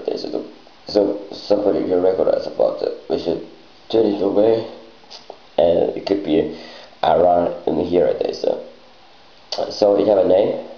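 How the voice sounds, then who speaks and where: A man improvising a rambling monologue in halting, disjointed phrases with garbled grammar. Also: low-pitched, creaky. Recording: muffled lo-fi, echoey room.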